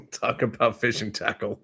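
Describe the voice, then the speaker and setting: A man's voice: on the low side, steady tone, measured pace, vocal fry, pushed and bright, slightly rough. An American man co-hosting a talk show, chatting casually in an easygoing, jokey way. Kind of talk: casual chat.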